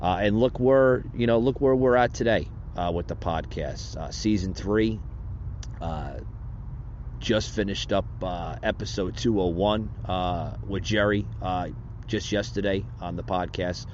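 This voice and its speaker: A man hosting a political podcast, talking in a halting way with many pauses. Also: low voice, darkish tone, a little hiss or hum. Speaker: podcast host. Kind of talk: casual political podcast talk. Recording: dull lo-fi audio.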